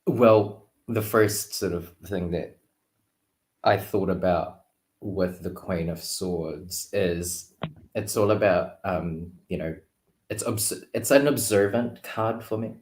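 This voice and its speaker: A man talking casually as a guest tarot reader, thinking aloud in a halting, reflective way. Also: sits low, darkish tone. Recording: roomy, echoing sound.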